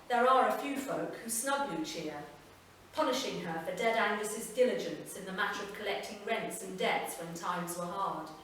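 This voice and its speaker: A woman reading a literary short story aloud at a live event, measured and unhurried. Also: mid-range voice, slight background noise. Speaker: reader. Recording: echoey room, voice from far off.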